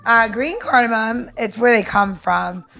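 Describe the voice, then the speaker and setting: A woman's voice: on the high side, expressive, measured pace, gravelly, sharp tone, soft background music. A woman co-hosting a podcast, explaining a recipe step by step in an informal, instructive way. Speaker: podcast co-host and cocktail maker.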